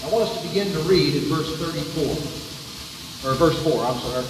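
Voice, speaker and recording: A male preacher with a fairly high voice, working from soft scripture reading up to a loud, fervent pitch. He is heard from a distance in a big church hall with a long echo and a faint hum of the room behind him. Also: a little fast. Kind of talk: sermon. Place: church sanctuary. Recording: echoey hall from far off, faint room noise.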